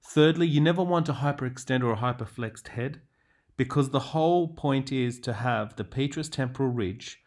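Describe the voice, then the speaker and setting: A man's voice: mid-range voice, a little mellow. Man giving an instructional lecture. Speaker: lecturer in radiology. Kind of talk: scripted lecture narration over slides.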